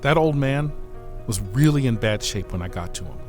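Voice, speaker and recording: A man playing a loyal, modest, watchful aide and bodyguard in a science fiction audio drama, speaking in a measured, formal, earnest way. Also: medium pitch, animated, darkish tone, soft background music, lightly acted. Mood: sober, reflective.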